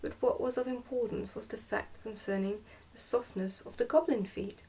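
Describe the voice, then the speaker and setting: Soft-spoken woman reading a storybook. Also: a little high, moderate pace, recorded from a distance, slight background noise. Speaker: ASMR book reader. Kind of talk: ASMR storybook narration.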